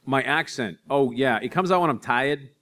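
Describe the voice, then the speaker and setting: A man in his forties telling animated, self-deprecating stories to an audience on a library stage. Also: medium pitch, moderate pace. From Boston area. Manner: animated storyteller, acts out voices in anecdotes, self-deprecating. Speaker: author speaking to an audience. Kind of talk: storytelling talk and audience Q&A. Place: library stage in front of a curtain.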